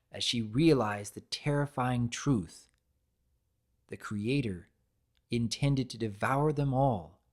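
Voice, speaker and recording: A storyteller delivers a scripted dramatic reading with deliberate expression. The pitch moves widely and freely, giving the narration a very animated feel.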